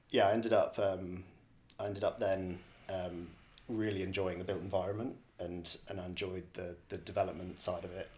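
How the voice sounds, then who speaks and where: A man's voice: low voice, darkish tone, slight background noise. A man from the borders of Scotland giving a reflective, casual interview answer as a podcast guest, hesitant and often rephrasing himself. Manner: hesitant, repeats and rephrases phrases. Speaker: podcast guest, real estate director.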